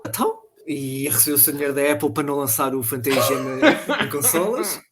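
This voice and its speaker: A man with a European Portuguese accent chatting about video games, enthusiastic and joking, speaking in quick bursts. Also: fairly high pitch, background noise. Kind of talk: casual gaming chat.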